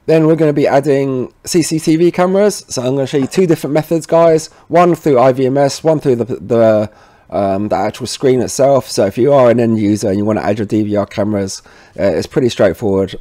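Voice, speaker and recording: A man's voice: on the low side, mellow, no room sound. A man giving a casual, informal instructional voice-over as a tutorial presenter, often checking in with the listener. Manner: informal, often tags sentences with "okay?" and "guys". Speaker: presenter of an installation tutorial series.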